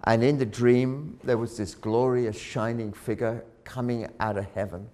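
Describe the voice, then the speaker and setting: A man preaching a sermon, telling a story dramatically, rising loud on key words and dropping low again. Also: fairly even intonation, measured pace. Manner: dramatic, swells loud on key phrases then drops low.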